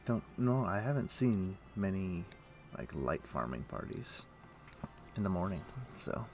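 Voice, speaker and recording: Male game streamer, creaky voice, casual rambling commentary over clearly audible music. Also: low voice, sing-song, a little slow. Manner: rambling, thinking aloud. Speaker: livestream host.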